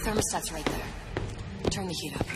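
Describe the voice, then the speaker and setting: A woman's voice: sits low, moderate pace, very noisy, natural voice. A woman acting in a video game as a determined young woman, firm, matter-of-fact and insistent. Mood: cool, resolute. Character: determined, cool-headed young woman helping a searcher. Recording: loud music behind the voice.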